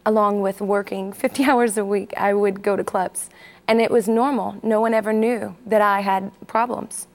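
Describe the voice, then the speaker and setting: A woman's voice: medium pitch, fairly even intonation, normal speed. A woman in her forties from Texas, telling her personal story candidly and hesitantly as an interview guest. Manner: candid, hesitant, with many fillers.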